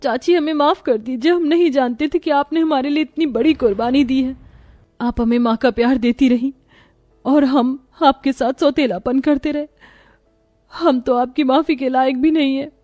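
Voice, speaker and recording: Woman acting high-voiced, emotional family-drama heroine. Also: steady tone, normal speed, dark tone, faint music behind, natural voice. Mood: remorseful. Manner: emphatic, emotional. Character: dramatic young and motherly women of a troubled family. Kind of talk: melodramatic moral story dialogue.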